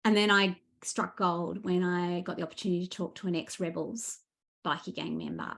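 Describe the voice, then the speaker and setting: A woman with an Australian accent speaking as a guest author in a casual interview, animated and enthusiastic as she tells her stories. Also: lowish voice, fairly quick, vocal fry.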